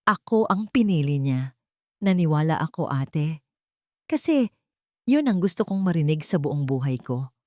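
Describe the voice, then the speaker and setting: A woman voicing a hurt, guilt-ridden younger sister who confesses haltingly and pleadingly in an audio love-story drama. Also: low-pitched, big pitch swings, no echo, clean studio sound. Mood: wounded, defiant.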